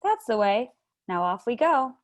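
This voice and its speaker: A woman's voice: on the high side, very animated, unhurried, pitched above the performer's own voice. A woman voicing a male faun, polite, fussy, courtly, eager, formal, slightly flustered.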